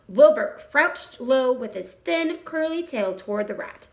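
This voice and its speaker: A woman reading a children's story aloud with expressive narration. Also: sits high, a little slow. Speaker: storybook reader. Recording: roomy echo.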